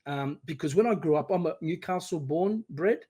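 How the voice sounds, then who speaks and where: An Australian man in his sixties answers questions as a podcast guest. His voice sits a little high, and he talks with animated energy, often acting out the encouraging voices of parents. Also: moderate pace, breathy edge. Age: 60s. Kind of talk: casual interview.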